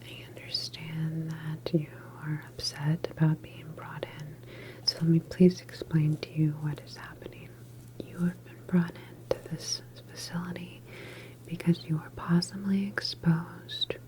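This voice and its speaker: A woman whispering in a breathy, calm and reassuring clinical manner, as an ASMR doctor roleplay. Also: low voice, noisy background. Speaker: ASMR performer playing a doctor in a medical roleplay.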